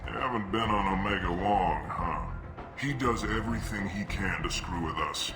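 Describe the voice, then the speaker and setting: A man playing a gruff, blunt, businesslike mercenary recruiter in a video game, with clipped, no-nonsense delivery. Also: deep voice, moderate pace, echoey room, music in the background, natural voice. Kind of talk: video game dialogue acting.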